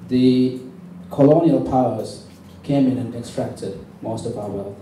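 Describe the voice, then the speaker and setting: A man's voice: medium pitch, warm tone. A man from Sri Lanka giving an impassioned political speech at a public meeting, emphatic and intense. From born in Sri Lanka. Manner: emphatic, intense, deliberate rhetoric. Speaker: campaign speaker at a public meeting. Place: indoor public meeting venue. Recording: very echoey, distant, faintly noisy hall recording.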